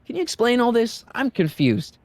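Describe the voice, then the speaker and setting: A curious American teenage boy from a video game. His pitch moves around a lot in a dry studio sound. He speaks casually, as a slightly bewildered sidekick full of questions. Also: huge pitch swings, normal speed, darkish tone, no room sound, heavily acted. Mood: puzzled. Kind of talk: scripted video game dialogue.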